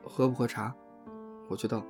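Guy acting nice slightly awkward young man, mid voice, gentle n hesitant, radio drama, faint music. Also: mid-range voice, steady tone, normal speed, natural voice. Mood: awkward, polite. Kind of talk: Chinese audio drama acting.

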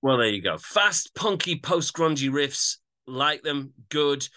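A man co-hosting a podcast, giving casual track-by-track album commentary with measured critical judgments. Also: fairly high pitch, sing-song, sharp tone. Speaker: podcast co-host.

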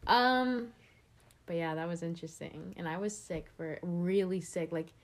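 A young woman chatting casually with a friend, relaxed and rambling, laughing often. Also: fairly low pitch, measured pace. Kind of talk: casual chat.

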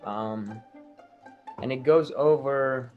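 Man, marketing salesman, persuasive and reassuring, conversational sales pitch, mid-pitched voice, background music. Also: drawn-out words, phone-quality sound.

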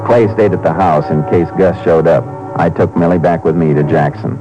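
An actor playing a calm, firm Texas Ranger in a 1950s radio crime drama, terse and dryly persistent. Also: male voice, deep voice, music in the background, natural voice. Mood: matter-of-fact. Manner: terse, unhurried questioning, dry. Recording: muffled, hissy 1950s radio recording.

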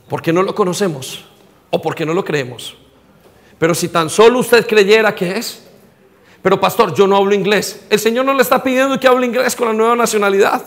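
Colombian male pastor, high-pitched, impassioned sermon delivery, reverberant hall sound. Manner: preaching, rising to near shouts, direct questions to listeners.

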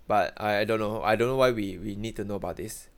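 A man's voice: fairly low pitch, quick pace. A man, co-hosting a podcast in casual, teasing banter. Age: 30s. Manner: joking, teasing, laughs often. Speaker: podcast co-host.

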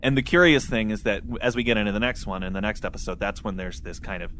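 A man's voice: lowish voice, fast, fairly bright tone, slight background noise. A man co-hosting a podcast, chatting casually about literature. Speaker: podcast co-host.

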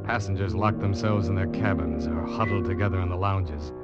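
Actor as grim low-voiced ship's officer narrating. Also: male voice, close to an everyday voice. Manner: tense, deliberate, dramatic storytelling. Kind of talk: old-time radio drama narration. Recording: dull vintage radio recording with loud music.

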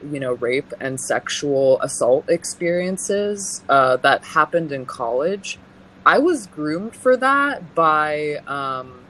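A young woman from California with a deep voice, giving personal testimony as an interview guest in an earnest, halting way, full of pauses and restarts. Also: a bit bright, slight background noise, rough recording.

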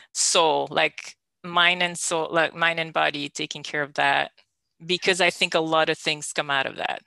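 A woman's voice: deep voice, fairly even intonation, measured pace, gravelly, sharp tone, clean tone, dry recording. A woman from Belgium hosting a podcast and talking candidly about her love life, laughing often and restarting her sentences. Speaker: podcast host. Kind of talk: candid personal conversation.

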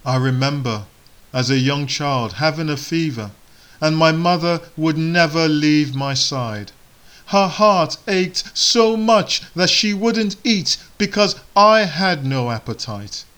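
A man whose first language is Igbo, giving a sermon in slow, measured phrases with solemn pauses. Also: on the high side, animated, a bit bright. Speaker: preacher.

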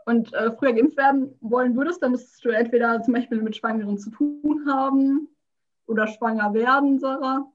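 Woman, teasing, laughs easily, higher voice, lo-fi muffled recording. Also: steady tone, normal speed. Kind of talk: casual chat.

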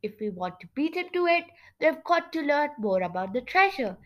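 A woman's voice: high voice, very animated, a bit bright, voice pushed up. A woman voicing a nasal, self-assured storybook sleuth. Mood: confident. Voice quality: nasal, congested. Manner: brisk, self-assured, teasing. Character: bossy, curious, confident female storybook sleuth.

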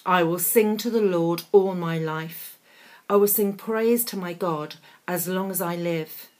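A woman reading a psalm aloud in a slow, deliberate recitation. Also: lowish voice, darkish tone.

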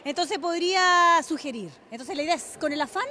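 A woman's voice: extremely high pitch, animated, fairly quick, bright, forceful tone, a little hiss or hum. A Chilean woman, a team coach, giving spontaneous answers in an interview.

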